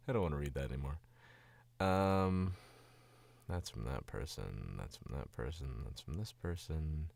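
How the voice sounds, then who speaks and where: A man's voice: very deep voice, expressive, unhurried, creaky, darkish tone, no room sound. A sick man from the Southern US with a Southern accent, chatting casually and rambling on a stream as a voice actor. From Southern United States. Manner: rambling and distracted, chuckling often. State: sick, voice dropped lower.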